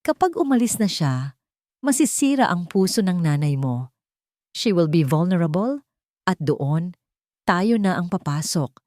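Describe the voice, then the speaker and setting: A woman's voice: fairly low pitch, sing-song, a little slow, long pauses, mellow, no echo, studio quality. A woman voicing a cold, scheming aunt with a calculating manner in an audio drama story. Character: cold, scheming aunt plotting a family takeover.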